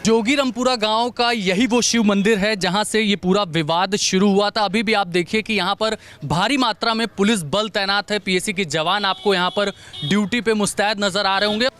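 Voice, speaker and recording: A man's voice: high voice, steady tone, moderate pace, a bit bright, slight background noise. A man working as a field news reporter, speaking on location and putting questions to locals. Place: outdoors in a village.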